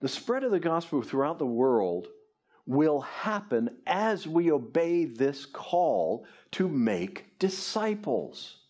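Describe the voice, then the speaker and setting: Lively-voiced preaching man. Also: on the high side, slow pace. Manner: earnest, lively preaching at a lectern. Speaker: pastor. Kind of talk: sermon. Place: church stage before a congregation.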